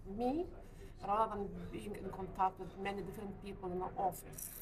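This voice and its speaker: A woman in her forties from Lithuania, speaking English with a Lithuanian accent, answering interview questions hesitantly with many pauses. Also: medium pitch, steady tone, crowd noise. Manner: hesitant, frequent uh pauses, light laughs. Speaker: letting agency owner. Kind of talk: business interview. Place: hotel meeting room.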